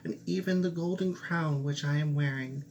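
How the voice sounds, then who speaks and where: A man voicing a spoiled young princess in a fairy tale, pitching his voice high and pleading eagerly. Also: normal speed, a little mellow, dull audio, slight background noise, natural voice.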